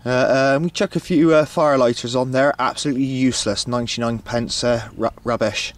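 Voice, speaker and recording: A man narrating a casual outdoor vlog in a hesitant, matter-of-fact way, often pausing as he goes. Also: medium pitch, steady tone. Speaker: radio hobbyist filming himself. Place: outdoors on an open hilltop. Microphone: handheld camcorder, operator behind camera.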